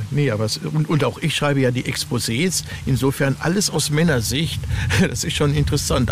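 A man's voice: medium pitch, a little mellow. A man with a German accent speaking as an interviewed author in casual, thoughtful, hesitant conversation. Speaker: guest author of horror novel series. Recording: clearly noisy background.

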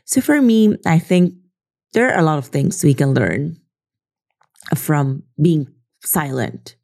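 A woman's voice: deep voice, very animated, slow, creaky, darkish tone. A woman from the Philippines, talking earnestly in a casual reflective monologue and often checking in with "right?". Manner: earnest, leans on "you know" and "right?". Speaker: social media creator.

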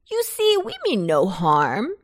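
Woman as a wheedling, jolly pumpkin leader, slow and swooping. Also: medium pitch, huge pitch swings, no room sound, clean studio sound, heavily acted. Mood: friendly, commanding. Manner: wheedling, emphatic. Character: jolly, persuasive male pumpkin, leader of the vegetables. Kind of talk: children's storybook character voice.

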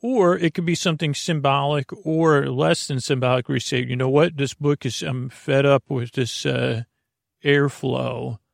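A man hosting a bedtime podcast, rambling whimsically in a halting, self-interrupting way with a creaky, gravelly voice. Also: mid-range voice, fairly even intonation, no room sound, clean studio sound. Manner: halting, meandering, self-interrupting, talks to himself. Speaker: podcast host.

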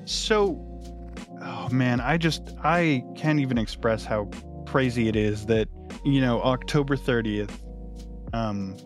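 A man in a casual behind-the-scenes chat as an audio drama creator, musing in a halting way. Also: medium pitch. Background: guitar music.

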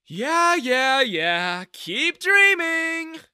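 A man acts out an arrogant bank manager in a narrated story. He is loud and scornful, with a high, forceful voice that swings widely in pitch. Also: very animated, very slow, no room sound, clean studio sound, full character voice. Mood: dismissive. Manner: haughty, mocking.

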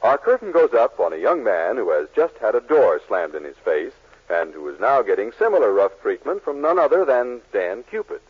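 A man's voice: fairly low pitch, sing-song, normal speed, husky. A man working as a radio announcer, reading scripted commercials in a 1940s style. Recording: muffled 1940s radio recording.

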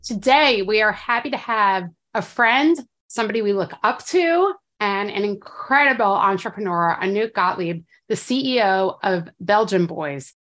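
A woman's voice: mid-range voice, expressive, moderate pace, hardly any pauses, fairly bright tone. A woman co-hosting a business podcast, speaking in an upbeat, articulate way. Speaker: podcast co-host.

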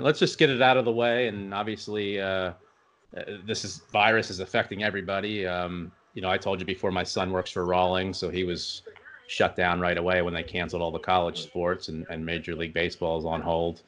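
A man's voice: sits low, level tone, a little fast, fairly bright tone, slight background noise. A middle-aged man hosting a podcast in a casual interview style. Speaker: podcast host.

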